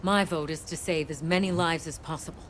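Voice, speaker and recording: A woman playing a stern, resolute leader in a video game, speaking gravely and decisively. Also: deep voice, fairly even intonation, fairly quick, a little hiss or hum, lightly acted. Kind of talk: video game cutscene acting.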